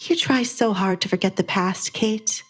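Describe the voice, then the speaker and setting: A woman's voice: medium pitch, normal speed, close to an everyday voice. Wronged young noblewoman, stern and menacing, for a romance audio drama, read by a female narrator, music bed. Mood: bitter, defiant. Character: wronged young noblewoman, cold, defiant, vengeful. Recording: background music under the voice.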